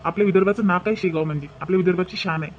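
High-voiced, earnest young husband played by a man. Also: rapid-fire, close to an everyday voice. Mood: devout. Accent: Vidarbha Marathi. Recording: dull lo-fi sound, faint noise.

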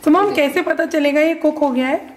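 A young woman with a high voice co-hosts a cooking show. She asks questions and speaks straight to viewers. Faint kitchen noise sits behind her. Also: a little fast. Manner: prompting with questions and addressing viewers. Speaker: co-host, daughter of the cook. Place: home kitchen.

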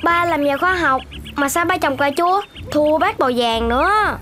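A performer voicing a curious, outspoken young animal child with expressive cartoon delivery. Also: high voice, measured pace, a little hiss or hum. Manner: inquisitive, expressive.

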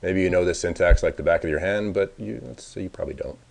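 Guy with a really low voice doing a casual screencast tutorial, kinda thinking out loud as he goes. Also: quick pace, gravelly. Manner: informal, thinking aloud while working. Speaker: software presenter giving a demo.

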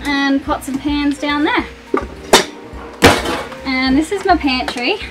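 A woman's voice: sits high, takes it slowly, loud music behind the voice. A young woman giving a chatty, upbeat tour of the galley and cabins on her sailing catamaran. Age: young adult. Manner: chatty, upbeat, matter-of-fact. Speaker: sailing vlogger. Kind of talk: casual boat tour.

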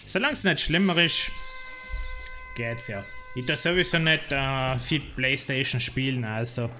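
Bright-voiced South Tyrolean streamer. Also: male voice, mid-range voice, unhurried, soft background music. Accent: South Tyrolean German. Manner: rambling, complaining, many fillers. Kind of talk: casual gameplay livestream chat.

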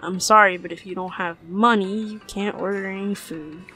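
A woman voicing an apathetic fast-food cashier in a cartoon comic dub, weary and unimpressed. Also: mid-range voice, husky, faint music behind. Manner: flat, hesitant, unimpressed.

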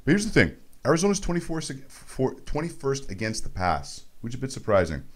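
A man's voice: mid-range voice, huge pitch swings, fairly quick. A man, hosting casual sports radio with joking, teasing banter into a close studio mic. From Montreal, Canada. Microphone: close studio mic on boom arm.

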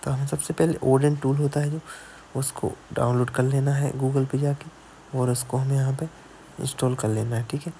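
A man's voice: mid-range voice, little pitch movement, normal speed, dark tone, a little hiss or hum. A man who repairs mobile phones, narrating a screen-recorded tutorial step by step and often checking in with the viewer. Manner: explains step by step, keeps adding "theek hai". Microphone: voice-over on a screen recording.

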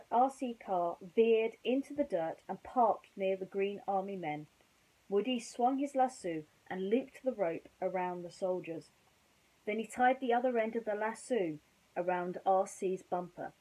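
Young female storyteller, husky, theatrical but informal children's reading. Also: mid-range voice, warm tone, rough recording. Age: young adult. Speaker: bedtime storyteller. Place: small bedroom, indoors.

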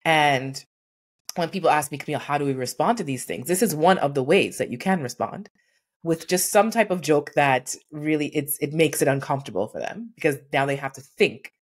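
A woman's voice: low-pitched, fairly quick, husky. A woman in her forties, a workplace consultant speaking as a guest in a video-call interview, telling stories earnestly and acting out the quotes. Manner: earnest, animated storytelling with acted-out quotes. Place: home room with painting behind.

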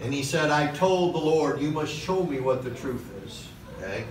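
A middle-aged man preaching a sermon from a podium in a large church hall, passionate, with "okay?" asides and slow emphatic lines. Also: a little high, lively intonation, a crowd in the background. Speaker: pastor. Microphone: far camera in the hall. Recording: echoey hall recorded from a distance with noise.

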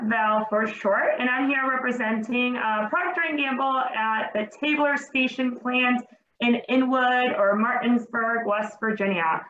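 A woman's voice: on the high side, pushed and bright, a little hiss or hum. A young woman from Venezuela presenting eagerly over slides on a video call, speaking through a mask with frequent fillers. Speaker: engineering manager presenting to teachers. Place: at her workplace, seen small in video-call window. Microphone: video call, masked. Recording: roomy, dull video-call audio.

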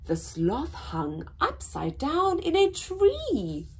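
Over some background noise, a young woman reads to small children. Her voice sits fairly high and swoops expressively. She takes her time, leaning on words with drawn-out emphasis. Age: young adult. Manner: animated, deliberate, drawn-out emphasis. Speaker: children's story time host. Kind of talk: read-aloud storytelling for young children. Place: small indoor room with painted backdrop.

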